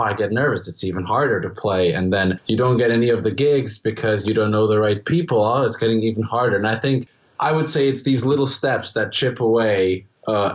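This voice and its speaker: A man's voice: on the low side, lo-fi sound. A young man from the Czech Republic, a native Czech speaker with a strongly Americanized international-school accent, answering interview questions in a casual, rambling way with many restarts. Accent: international-school American English. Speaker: guest musician.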